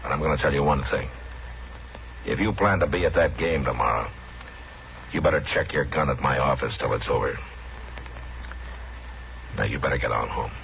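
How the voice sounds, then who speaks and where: Very deep-voiced, calm western marshal. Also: male voice, creaky, slight background noise. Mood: stern. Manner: terse, firm, unhurried. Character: calm, authoritative Old West town marshal. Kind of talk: 1950s radio western acting. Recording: muffled old radio recording, loud saloon crowd.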